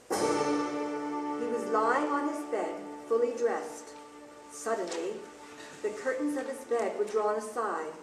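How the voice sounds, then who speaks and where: A storyteller, played by a woman narrates a ghostly tale dramatically in a fairly high female voice. She is heard from a distance on an echoing stage, with music clearly behind her.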